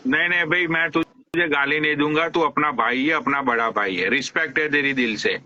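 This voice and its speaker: A man's voice: a little high, normal speed, pushed and bright, lo-fi sound. A man hosting a live stream, teasing his guest with crude, mock-respectful banter and frequent swearing.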